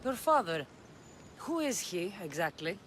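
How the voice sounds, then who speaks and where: Bold mercenary from a video game, dry and short-spoken, high voice for a man. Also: very animated, measured pace, a bit bright, slight background noise, heavily acted. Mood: challenging. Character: bold, wry mercenary sailing captain. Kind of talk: video game cutscene acting.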